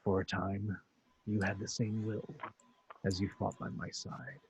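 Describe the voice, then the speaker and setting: A man playing a calm, menacing alien warlord and father in an amateur script read, speaking in a measured, patronizing way. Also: fairly low pitch, noisy background. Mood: insistent, cold.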